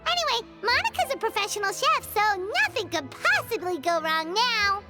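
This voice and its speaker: Tiny childlike fairy sidekick, squeaky and very high, lively swoops, chirpy, excitable, anime game. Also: female voice, moderate pace, over loud music. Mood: chipper, reassuring. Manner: squeaky, speaks of self in third person.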